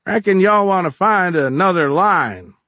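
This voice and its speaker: A man voicing a weary, fatherly old shopkeeper with a slow Southern US drawl in a story. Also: fairly high pitch, big pitch swings, a bit bright, breathy edge, no echo, pitched above the performer's own voice, full character voice. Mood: dry, unimpressed.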